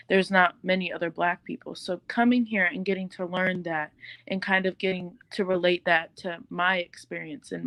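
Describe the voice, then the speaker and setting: A young woman from New Mexico answers interview questions as a student guest. She talks in an earnest, reflective way with a gravelly edge. Her voice is sharp, and the sound is close and dry. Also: lowish voice, dry recording. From New Mexico, USA. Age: young adult. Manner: earnest, reflective, with fillers and restarts.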